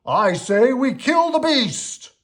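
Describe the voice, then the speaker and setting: A scheming fairy-tale villain, acted by a male reader. He is loud and bullying, his pitch raised and swinging as he whips up a crowd. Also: sing-song, slow, full character voice. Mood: furious, rousing. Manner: loud, forceful, inciting.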